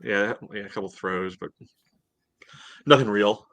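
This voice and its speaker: A man co-hosting a sports podcast, giving measured, casual commentary. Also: lowish voice, a bit bright. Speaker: podcast co-host.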